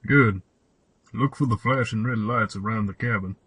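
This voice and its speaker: A man's voice: on the low side, moderate pace, fairly bright tone, slightly rough, no echo. A man playing a cryptic old cave hermit and mechanic in an audio drama, with a solemn, old-fashioned storyteller's delivery. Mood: gruff, practical. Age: older. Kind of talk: fan audio drama acting.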